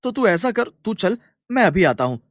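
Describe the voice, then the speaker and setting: A man voicing a kind, earnest young village farmer in a cartoon story, with a colloquial, animated manner. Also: sits high, normal speed, no echo, clean studio sound. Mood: hurried. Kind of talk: Hindi cartoon story dialogue.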